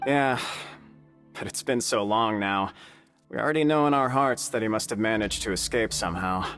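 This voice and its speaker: Game NPC: gruff gang veteran, male actor, lowish steady voice. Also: moderate pace, soft background music, close to an everyday voice.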